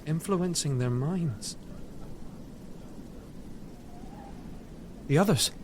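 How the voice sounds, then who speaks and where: High-voiced troubled young courtier, acted by a man. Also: moderate pace, noisy background, close to an everyday voice. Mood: bewildered. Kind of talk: audio drama acting.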